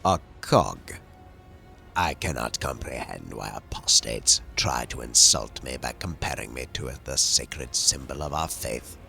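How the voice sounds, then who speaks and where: Man as a rasping, very deep machine-priest. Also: lively intonation, pushed and bright, soft background music, exaggerated voice. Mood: disdainful. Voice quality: rasping, very low. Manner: slow, weighty, clipped pronouncements. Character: stern, coldly logical male machine-priest zealot.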